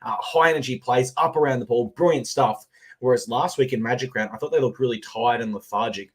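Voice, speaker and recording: A man with an Australian accent hosting a live sports betting podcast, his voice a little husky, recapping games with energy. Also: medium pitch, a little fast. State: slightly husky voice. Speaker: podcast host.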